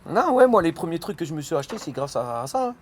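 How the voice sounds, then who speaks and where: A man of forty from France, chatting in slangy, animated bursts about cross-border work. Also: fairly high pitch, quick pace. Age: 40s. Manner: animated, slangy, talks over others. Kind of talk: casual chat.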